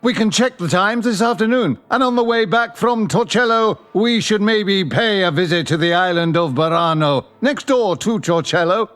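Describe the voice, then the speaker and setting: Eager, high-voiced bridegroom. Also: male voice, a bit bright. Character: younger Alec, eager, besotted bridegroom, pedantic and playful. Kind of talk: audio drama dialogue.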